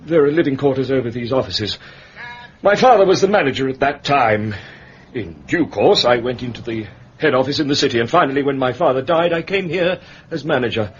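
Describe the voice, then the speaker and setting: An actor playing a middle-aged English bank manager in a period radio drama, fussy, discreet and hesitantly formal. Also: male voice, medium pitch, lively intonation, a little fast, lightly acted. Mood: formal, nostalgic. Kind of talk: British period detective radio drama acting. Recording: muffled radio recording, clear background noise.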